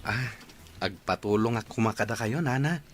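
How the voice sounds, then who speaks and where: A man's voice: fairly low pitch, moderate pace, faint music behind. A man playing a devoted, slightly shy young boyfriend in a radio drama, speaking politely and hesitantly with an Ilocano accent. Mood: polite, eager.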